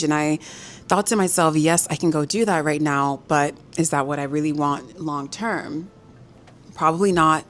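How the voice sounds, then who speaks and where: A young woman with a low voice talks straight to the camera, telling her story in a lively, giggly way. She sounds a little nervous. Soft music plays faintly behind her. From Los Angeles, United States. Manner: chatty, giggly, animated storytelling. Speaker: vlogger. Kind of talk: casual talk to camera.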